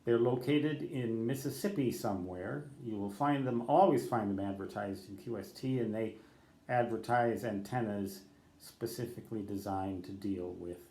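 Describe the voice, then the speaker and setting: A man's voice: fairly low pitch, normal speed, darkish tone, reverberant. An older man who is a ham radio operator, giving rambling advice off the cuff, correcting himself and spelling out letters in radio code. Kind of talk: unscripted talk to camera.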